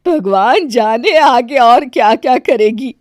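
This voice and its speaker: A woman's voice: fairly high pitch, lively intonation, slow pace, a little mellow, dry recording, studio quality. A woman playing a middle-aged mother-in-law in a moral story, doting yet easily offended, with an emphatic, exclaiming manner. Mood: offended, scolding. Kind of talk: dramatised Hindi moral story.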